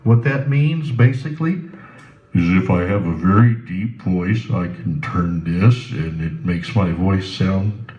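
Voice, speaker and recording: A man giving a slow, plain hands-on demonstration of setting up a sound system indoors, pausing often with fillers. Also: sits low, a little mellow, lo-fi sound. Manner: unhurried, plain instructions with frequent pauses and fillers. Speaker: ministry volunteer demonstrating sound gear.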